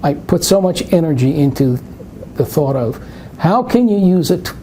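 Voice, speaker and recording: Older New York man, mellow and a bit faint hiss behind; math presenter given to rambling anecdotes. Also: a little high, animated, moderate pace. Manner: rambling, anecdotal, self-interrupting. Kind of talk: live webinar Q&A.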